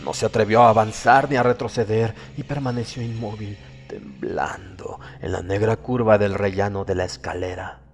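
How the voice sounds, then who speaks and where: A man giving a dramatic, suspenseful narration of a horror audiobook, with deliberate, theatrical phrasing. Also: lowish voice, fairly even intonation. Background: music.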